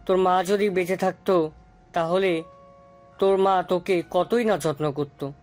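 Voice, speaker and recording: A man's voice: high-pitched, fairly even intonation, a little slow, soft background music. A man playing a tender, middle-aged widowed father in an audio story drama. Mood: tender, sorrowful. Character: tender, grieving widowed father of a grown daughter.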